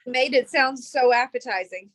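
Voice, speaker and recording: A woman's voice: high voice, moderate pace, fairly bright tone, dry recording. A woman co-hosting a podcast, chatting in an easygoing, agreeable way over a video call. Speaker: podcast co-host. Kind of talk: casual chat. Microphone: recorded over a video call.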